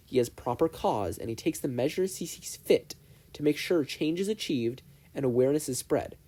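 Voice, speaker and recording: A man voicing a stern but proud teacher in a fan fiction story, lecturing in a measured, stoic way. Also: medium pitch, lively intonation, warm tone, pitched above the performer's own voice, close to an everyday voice. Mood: stony, firm.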